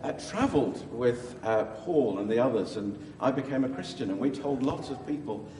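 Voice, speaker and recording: A man's voice: mid-range voice, lively intonation, moderate pace, mellow, slight background noise, lightly acted. A man playing an ancient Greek physician and gospel writer, chatting genially and playfully in a hesitant, interview style. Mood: chatty, earnest. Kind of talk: in-character interview for a church audience. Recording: echoey hall with long reverb, slightly muddy.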